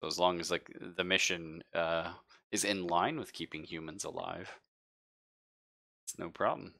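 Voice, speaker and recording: A man's voice: low-pitched, a little slow, gravelly, pushed and bright. A man running a tabletop game as game master, chatting casually with the players.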